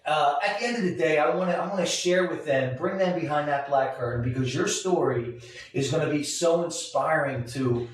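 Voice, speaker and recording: A man's voice: on the high side, moderate pace. An American man hosting a casual motivational interview, speaking emphatically and encouragingly and repeating phrases for emphasis. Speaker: show host and motivator. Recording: very echoey room, distant mic.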